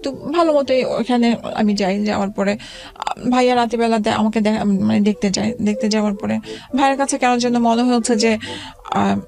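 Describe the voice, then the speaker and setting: A woman in her forties telling her life story as an interview guest, halting and hesitant, with many fillers and restarts. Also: fairly high pitch, fairly even intonation, gravelly, a little mellow, faint music behind.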